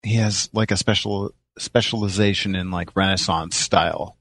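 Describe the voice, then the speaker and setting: A man's voice: sits low, steady tone, normal speed, a bit bright, dry recording. A man chatting casually as the co-host of a podcast. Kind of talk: casual chat.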